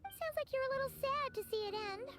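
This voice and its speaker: A woman voicing a tiny, excitable childlike fairy companion in a video game, squeaky and perky. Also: quick pace. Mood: cheerful. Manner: perky, refers to herself in third person. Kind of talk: video game character acting. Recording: loud game music behind. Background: playful game music.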